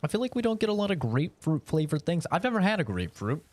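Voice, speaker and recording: A man's voice: a little high, sing-song, quick pace, darkish tone, dry recording. Laid-back young man streaming a game. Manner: rambling, laid-back, swears casually. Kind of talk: casual live-stream chat while gaming.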